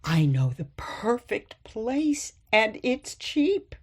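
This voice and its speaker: Woman playing elderly mother, radio drama, sweet, stubborn, gently chiding, unhurried, lively pitch. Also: slightly rough, heavily acted. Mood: coaxing. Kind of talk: radio play acting.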